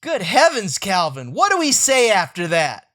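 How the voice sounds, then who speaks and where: A man's voice: high voice, huge pitch swings, normal speed, a bit bright, heavily acted. A man voicing a stern, exasperated mother from a comic strip, with emphatic scolding delivery.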